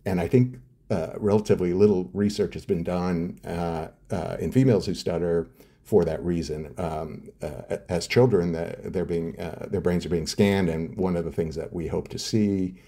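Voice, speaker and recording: A man's voice: deep voice, moderate pace, mellow, husky. A middle-aged man answering interview questions as a scientist guest, explaining step by step with many hesitant fillers and restarts. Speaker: neuroscientist guest.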